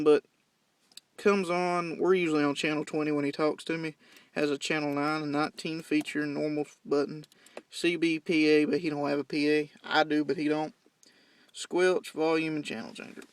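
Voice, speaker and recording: Young man, higher-pitched voice, noisy recording. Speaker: CB radio hobbyist giving a demonstration. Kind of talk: casual unscripted how-to walkthrough. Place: in and around parked vehicles at home.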